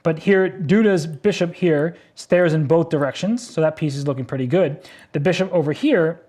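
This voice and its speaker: A man's voice: on the high side, a little mellow. A man commentating a blitz chess game, exclaiming at surprising moves and laughing easily. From United States.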